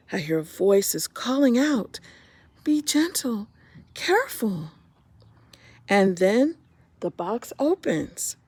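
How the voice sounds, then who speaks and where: Woman reading a kids picture book real slow, voice goes up and down a lot, bit gravelly, dry sound. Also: medium pitch, no room sound. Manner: expressive, pointing out pictures. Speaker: homeschool story reader.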